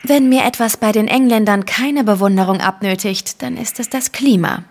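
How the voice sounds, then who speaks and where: A woman's voice: a little high, normal speed, darkish tone. An actress playing a cool, proud, witty German female spy in an audio drama, formal and sardonic.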